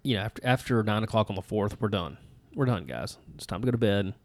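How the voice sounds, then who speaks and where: A man's voice: on the low side, fast talker, dry recording. A man, co-hosting a podcast in a folksy, rambling, self-deprecating way. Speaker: podcast co-host. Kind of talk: casual chat.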